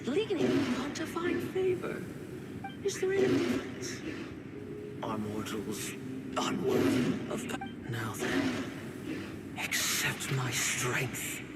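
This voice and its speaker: A man voicing an arrogant dark spirit in a video game, tempting and condescending in a grandiose way. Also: extremely high pitch, heavily acted. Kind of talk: scripted video game voice acting. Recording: heavily echoing, noisy game audio.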